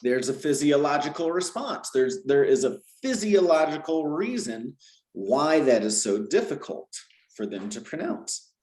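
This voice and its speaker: A man's voice: a little high, unhurried, reverberant. A man, a language teacher, explaining at length in a conversational interview, often ending points with "right?". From Georgia, US South. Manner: animated, explains with repeated "right?" tags.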